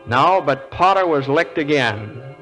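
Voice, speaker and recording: An actor playing an earnest, frustrated, quick-tempered small-town American man in a 1940s radio play, hesitant and excitable. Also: fairly high pitch, faint music behind, low-quality recording. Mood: weary, matter-of-fact. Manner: hesitant, repeating words, excitable. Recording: old radio play recording.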